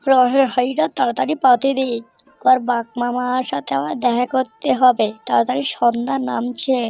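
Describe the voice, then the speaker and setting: A woman's voice: high-pitched, little pitch movement, brisk, gravelly, mellow, dry recording. A woman voicing an anxious old male fox in an amateur cartoon, muttering fretfully and repeating himself. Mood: fretful, hurried.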